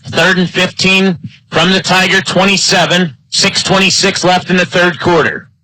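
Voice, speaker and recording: A man's voice: high voice, fairly even intonation, normal speed, bright, forceful tone, dry recording, low-quality recording. A man with an American accent doing live play-by-play commentary of an American football game. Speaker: play-by-play sports announcer.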